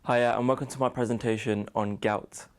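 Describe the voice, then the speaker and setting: A young man in his twenties with a British accent, giving a student presentation in a somewhat halting way. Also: medium pitch, fairly even intonation. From United Kingdom. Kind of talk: slide presentation on a medical topic.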